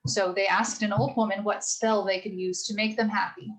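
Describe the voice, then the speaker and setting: A woman playing a gentle, earnest mother of four girls, telling stories in a measured, sincere way for a radio play rehearsal. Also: medium pitch, flat delivery, close to an everyday voice, low-quality recording. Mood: gently instructive. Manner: measured, sincere moral storytelling.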